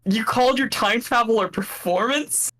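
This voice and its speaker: A man's voice: very high-pitched, moderate pace, bright, forceful tone, rough recording. A man speaking casually and playfully as game master, improvising narration for a comedy tabletop game.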